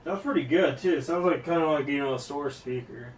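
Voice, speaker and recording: Guy w a highish voice rambling thru a homemade gear demo, repeats himself, talking behind the camera. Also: a little fast. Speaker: YouTube hobbyist demonstrating intercom gear. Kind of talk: casual hands-on vlog narration. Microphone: handheld camera, speaker behind it.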